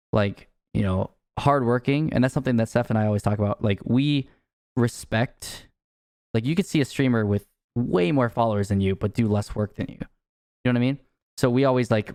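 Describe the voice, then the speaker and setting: A man's voice: lowish voice, darkish tone, no room sound, clean studio sound. A man co-hosting a podcast, asking questions in a rambling, casual way. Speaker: podcast co-host.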